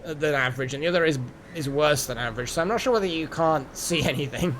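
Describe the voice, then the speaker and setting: A man's voice: fairly high pitch, fast talker, fairly bright tone, a little hiss or hum. A man hosting a live game stream, chatting casually and rambling as he thinks aloud. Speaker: game livestream host. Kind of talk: casual live gaming chat.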